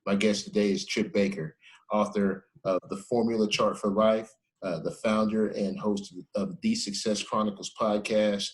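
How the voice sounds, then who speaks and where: A man hosting a casual podcast interview. His voice sits on the low side, and he sounds friendly and appreciative. He laughs easily with his guest. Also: fairly even intonation, moderate pace. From Texas. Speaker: podcast host.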